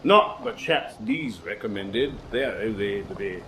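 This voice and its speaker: An actor playing a pompous, boastful British naval commander in a radio drama, with an emphatic, clipped officer manner. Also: male voice, fairly low pitch, lively intonation, unhurried, fairly bright tone, slight background noise, low-quality recording. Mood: brisk, commanding.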